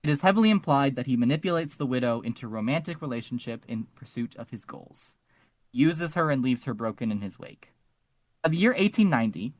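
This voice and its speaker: A man's voice: medium pitch, no room sound. A man co-hosting a podcast, casually discussing and summarizing fiction. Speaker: podcast co-host.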